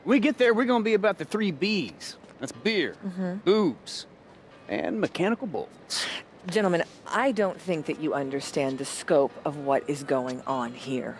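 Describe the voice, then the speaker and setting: Scripted dialogue from a video game cutscene, several characters in cinematic delivery. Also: male voice, a little high, lively intonation, normal speed, slight background noise. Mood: in-game scene. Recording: game audio heard from a distance.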